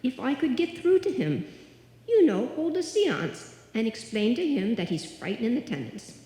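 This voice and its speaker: A woman acts out an imperious older Irish landlady for a radio comedy. Her voice is mellow and swings widely, crooning and theatrical. It rings in an echoey live hall. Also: on the high side, sing-song, normal speed. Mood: bossy. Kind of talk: live old-time radio comedy acting.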